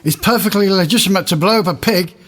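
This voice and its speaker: A man's voice: high voice, fast talker, a bit bright. A man playing a pompous, exasperated old-time manor court judge, declaiming puns in a comic audio drama. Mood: stern, exasperated.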